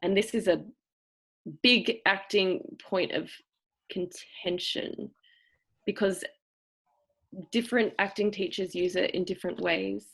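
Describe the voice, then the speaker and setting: A woman with a cultivated, trained-sounding Australian accent, teaching an acting seminar over a video call. She talks thoughtfully, stopping often to find the right word. Her voice sits at a middle pitch for a woman. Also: steady tone, gravelly, slightly rough, rough recording. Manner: thoughtful, searching for words, with pauses. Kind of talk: explanatory seminar talk.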